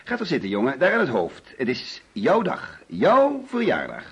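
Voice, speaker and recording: An actor playing an overbearing, jovial middle-aged father in a Dutch radio play, theatrical and teasing, with deliberate pauses. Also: medium pitch, huge pitch swings, a bit bright, slightly rough, lo-fi sound, heavily acted. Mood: jovial, fussing. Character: overbearing, jovial father hosting an uneasy birthday party.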